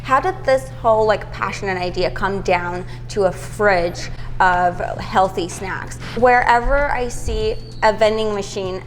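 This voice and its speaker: A woman's voice: mid-range voice, unhurried, soft background music, rough recording. A young woman hosting her channel, asking eager, friendly interview questions. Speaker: YouTube host and interviewer.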